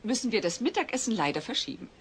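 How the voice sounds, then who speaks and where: No-nonsense mum as judge, audio comedy: wry, firm, animated pitch, slight background noise. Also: female voice, fast. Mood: dry, presiding. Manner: firm, dry, sceptical. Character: no-nonsense, wry mother presiding as household judge. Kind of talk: German dubbed comedy audio drama.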